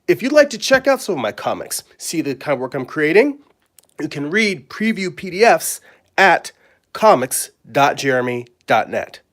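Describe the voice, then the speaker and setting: A middle-aged man, hoarse and raspy from a cold, clearing his throat as he chats casually with fillers while drawing at his table. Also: a little high, very animated, a bit bright. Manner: rambling, friendly, halting with fillers. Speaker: comic artist and live-stream host. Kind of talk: casual live-stream chat. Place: home studio at a drawing table.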